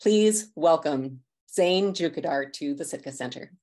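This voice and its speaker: Low-voiced woman reading an introduction. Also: expressive, unhurried, a little mellow. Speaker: host introducing speakers. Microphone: video call.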